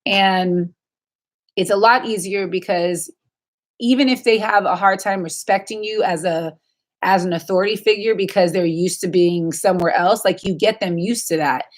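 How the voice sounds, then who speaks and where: A woman's voice: medium pitch, normal speed. A woman in her thirties hosting a casual livestream, chatting informally and answering questions in a rambling way. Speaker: livestream host.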